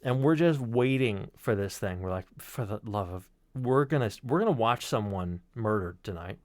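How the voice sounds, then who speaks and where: A man's voice: on the low side, lively intonation, moderate pace, a little mellow, no echo. A man telling an anecdote in a casual, wry and emphatic way, with some swearing. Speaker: storyteller on a podcast stream. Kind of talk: casual storytelling.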